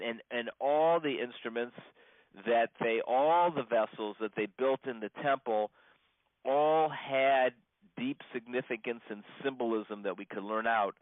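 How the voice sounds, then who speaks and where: Man, rabbi, informal religious teaching, mid-pitched voice, halting conversational delivery, repetition for emphasis, muffled phone-call audio. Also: no room sound. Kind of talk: informal religious lesson, explaining with examples. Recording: muffled band-limited phone conference call.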